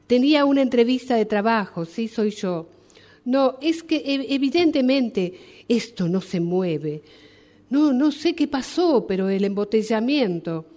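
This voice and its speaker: A woman's voice: mid-range voice, a little mellow, lightly acted. A woman playing a polite, anxious job applicant apologising by phone in a radio drama. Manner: hesitant, apologetic. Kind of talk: radio drama acting, one side of a phone call.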